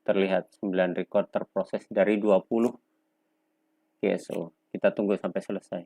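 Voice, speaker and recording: Guy walking thru a software demo step by step, low voice, pauses a lot between short bits, dry sound. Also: little pitch movement, no echo. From Indonesia. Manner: instructional, halting, pausing between short phrases. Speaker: software tutorial presenter. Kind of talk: unscripted step-by-step screen demonstration.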